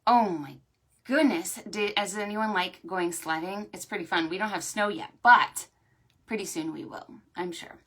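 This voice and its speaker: A woman hosting a storytime for preschoolers, talking to small children in an animated, sing-song way. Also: medium pitch, moderate pace. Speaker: children's librarian and storytime host. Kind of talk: preschool storytime with stretches and songs.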